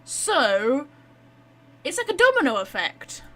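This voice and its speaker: A woman's voice: high-pitched, big pitch swings, slow, fairly bright tone. A woman giving casual, playful, reactive commentary while playing a game. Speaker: game streamer.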